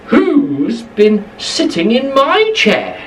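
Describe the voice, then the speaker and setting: A woman voicing a grumpy, displeased father bear in a storybook tale, with slow, emphatic questions. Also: a little high, wildly swooping pitch, distant mic, slight background noise, heavily acted.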